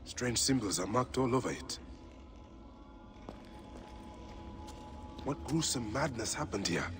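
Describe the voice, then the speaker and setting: An actor playing a grim avenging father who investigates tombs in a video game, with terse, deliberate delivery. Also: mid-range voice, animated, music in the background, natural voice. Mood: brooding, puzzled. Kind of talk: dramatic video game acting, investigative musing.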